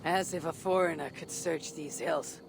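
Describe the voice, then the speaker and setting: A man's voice: a little high, normal speed, gravelly, background noise. A man playing a wary, skeptical adventurer in a video game, probing and challenging, distrustful of outsiders. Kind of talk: dramatic video game dialogue.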